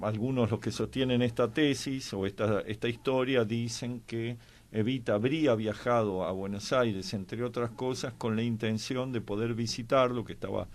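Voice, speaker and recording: A man with a mid-pitched voice and an Argentine Spanish accent talks through history in an informal discussion. He explains things haltingly, as a commentator, leaving drawn-out gaps while he finds his words. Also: fairly even intonation.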